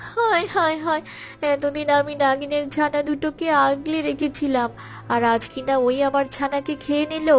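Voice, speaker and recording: A woman voicing an anxious, protective cartoon mother hen who scolds fretfully but has a soft heart. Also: high voice, fairly even intonation, moderate pace, faint music behind, natural voice. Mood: grieving. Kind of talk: children's cartoon voice acting.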